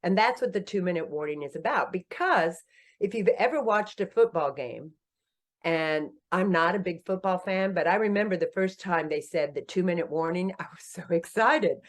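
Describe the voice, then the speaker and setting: A woman in her sixties, an author and company founder, telling her story in a casual podcast interview, animated and self-deprecating, laughing often and jumping up in pitch when she jokes. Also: normal speed. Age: 60s.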